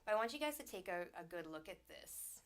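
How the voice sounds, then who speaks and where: Female host, soothing and unhurried, mid-range voice, faintly stuffy from a cold. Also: mid-range voice, very animated. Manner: soft, soothing, unhurried delivery. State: slightly congested. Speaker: host and sound healer. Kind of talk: livestreamed spiritual talk. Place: small home studio.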